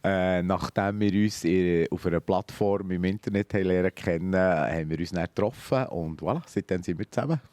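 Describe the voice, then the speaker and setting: A man's voice: low voice, brisk, few pauses, a little mellow, dry recording. A man from Switzerland with a Swiss German accent, a café owner answering interview questions in a cheerful, chatty way. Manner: cheerful, chatty, laughs easily.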